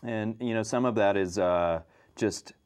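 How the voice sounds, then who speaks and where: A man's voice: lowish voice, a little slow, darkish tone, clear, resonant tone. An American man answering as a fire dispatch manager in an unscripted interview, informal and peppering his answers with "you know".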